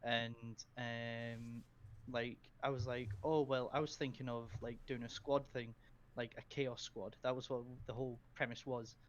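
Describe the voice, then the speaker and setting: A man's voice: mid-range voice, level tone, a little slow, lo-fi sound. A Scottish man chatting as a podcast guest, rambling through stories with lots of ums and giggles. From Scotland. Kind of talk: casual chat, anecdotes.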